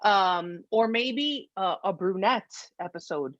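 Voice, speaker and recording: A woman's voice: medium pitch, slow pace, no echo. Dull-sounding, dry recording of a chatty woman host. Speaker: podcast host. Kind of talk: casual chat. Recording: dull, lo-fi close dry audio.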